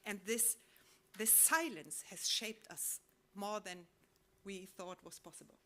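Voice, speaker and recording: A woman speaks at a mid-range pitch in a reflective public talk. She poses questions to her listeners and often stops to restart a word or phrase. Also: sharp tone. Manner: reflective, posing rhetorical questions; halting, with frequent restarts. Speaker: conference speaker. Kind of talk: public talk sharing collected testimonies.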